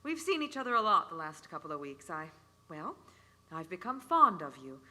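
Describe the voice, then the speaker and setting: A woman's voice: on the low side, big pitch swings, moderate pace, bright, forceful tone. A woman playing a practical, sensible sweetheart in a 1940s-style radio play. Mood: reproving, hurt.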